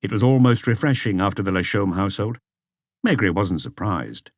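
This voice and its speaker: A man's voice: lowish voice, lively intonation, normal speed, dry recording, clean studio sound. A man reading a detective novel aloud as scripted audiobook narration.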